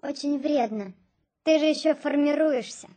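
An actress playing a naive, curious unborn baby girl in a radio play, gentle, childlike and hesitant. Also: sits high, moderate pace, close to an everyday voice. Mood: gentle, shy. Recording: studio radio play with music behind.

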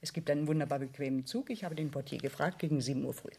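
Low female voice, wealthy middle-aged aunt, status-anxious, insistent, evasive, radio play. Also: racing, mellow, slightly rough. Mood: brisk, placating. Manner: fussy, insistent. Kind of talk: German radio play acting.

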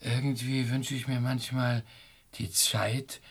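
A man's voice: medium pitch, little pitch movement, slow pace, slightly rough. An actor playing a weary, absent-minded middle-aged father in a German radio play, dreamy, wandering and repeating himself. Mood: vague, restless.

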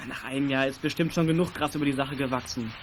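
An actor playing a greedy, stubborn small-time crook in a German youth radio play, insistent and defiant. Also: male voice, medium pitch, fairly even intonation, quick pace, noisy background, lightly acted. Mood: persuasive.

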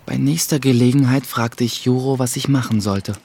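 Earnest young apprentice, mid-pitched. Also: male voice, fairly even intonation, normal speed, vocal fry, darkish tone, breathy edge. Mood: reflective. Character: earnest young male apprentice telling his own story. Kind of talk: radio play narration and dialogue.

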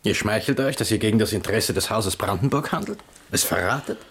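An actor playing a shrewd, mocking count and imperial envoy in a radio play, with dry, needling, formal diction. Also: male voice, lowish voice, expressive, fast talker, fairly bright tone, slight background noise. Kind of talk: German radio play acting.

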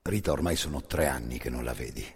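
A man narrating a dramatic second-person theatre monologue with intense, halting delivery in short phrases. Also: rumbling low, vocal fry, breathy edge. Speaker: actor-narrator. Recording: long hall reverb.

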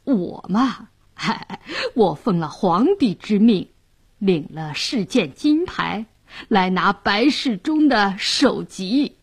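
Woman putting on a leering drunken nobleman, slow and swooping. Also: mid-range voice, expressive, slightly rough, exaggerated voice. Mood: tipsy boasting. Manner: leering, slurred, drawn-out wheedling. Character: lecherous, drunken, self-important nobleman villain.

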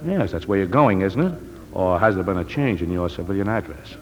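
Lively, low-voiced army-office sergeant. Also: male voice, brisk. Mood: businesslike. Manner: matter-of-fact, chatty. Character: brisk, genial military sergeant discharging a patient. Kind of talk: 1940s radio drama acting. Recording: muffled 1940s radio broadcast.

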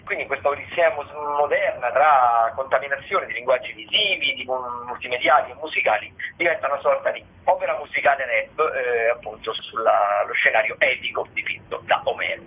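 A man hosting radio and presenting event listings in a halting, discursive way. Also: medium pitch. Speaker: radio host. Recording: tinny phone-like radio sound, faint noise.

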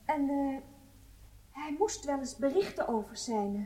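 High-voiced, hesitant woman nervous defendant. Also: expressive, unhurried, dark tone. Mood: uneasy, defensive. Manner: hesitant, deferential. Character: nervous, evasive wife of an accused spy on trial. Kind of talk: radio drama acting.

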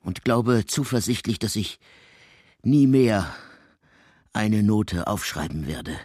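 Husky, despairing male composer. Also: lowish voice, animated, takes it slowly, darkish tone, dry recording, clean studio sound. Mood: bleak despair. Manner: formal, brooding, bleak. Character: despairing, ailing male composer, bitter and weary. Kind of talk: literary radio drama acting.

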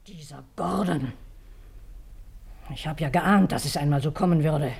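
An elderly housekeeper in a radio crime play. Her voice is rough and husky, and she talks in a hard, scornful, indignant way. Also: low voice, a little slow, a little mellow, exaggerated voice. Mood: bitter, indignant. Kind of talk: German radio crime drama dialogue.

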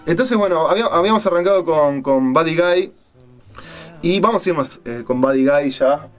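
A man with a Rioplatense Spanish accent hosting a radio show, telling a story casually and haltingly, searching for his words. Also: on the high side.

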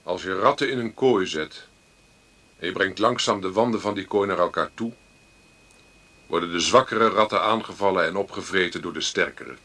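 A man's voice: low voice, moderate pace, pushed and bright. An actor playing a sober, grim spokesman in a documentary-style radio play. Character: sober male spokesman for benefit claimants, grimly explaining.

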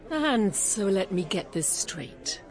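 Radio drama: worldly female singer, wry, medium-pitched and lively. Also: takes it slowly. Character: poised, amused, worldly woman singer.

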